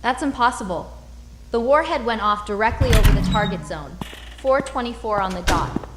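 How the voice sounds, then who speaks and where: A woman's voice: fairly high pitch, lively intonation, normal speed, natural voice. Stunned female technician, woman acting, medium register, echoing and very noisy military horror drama. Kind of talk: analog horror scripted drama. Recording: echoey room, loud noise.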